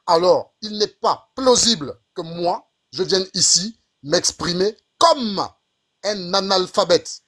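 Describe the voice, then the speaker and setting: A man's voice: high voice, slow, fairly bright tone, husky. A man in a heated live online debate, indignant and insisting on his point again and again. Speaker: panel participant.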